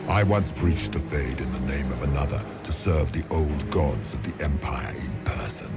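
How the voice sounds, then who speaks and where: An actor playing an ancient, monstrous would-be god in a video game, speaking in grandiose, menacing, contemptuous oratory. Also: male voice, extremely low pitch, normal speed, loud music behind the voice. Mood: cold, grandiose. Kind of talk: theatrical video game villain acting. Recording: loud orchestral game soundtrack behind.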